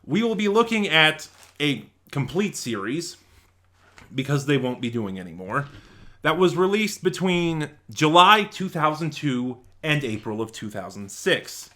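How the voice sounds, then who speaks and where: Man giving a casual, halting review. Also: fairly high pitch, very animated, fairly bright tone. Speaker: video reviewer and channel host. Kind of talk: casual spoken review to viewers.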